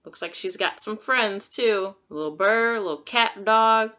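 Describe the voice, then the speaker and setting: A woman's voice: mid-range voice, moderate pace, pushed and bright. A woman teacher reading a picture book aloud to young children, adding simple, encouraging comments and questions as she goes. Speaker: kindergarten teacher.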